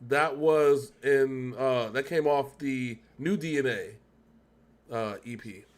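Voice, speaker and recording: A man's voice: medium pitch, slow. A man chatting casually as co-host of a reaction show, rambling and often correcting himself as he goes. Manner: rambling, talkative, self-correcting.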